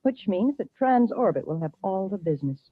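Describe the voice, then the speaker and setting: An actress playing a cool, calculating and ambitious villainess in an old-time radio science fiction drama. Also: female voice, mid-range voice, expressive, a little fast, slightly rough, no room sound. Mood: smug, scheming. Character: scheming, ambitious villainess plotting against rival space lines. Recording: muffled vintage radio recording.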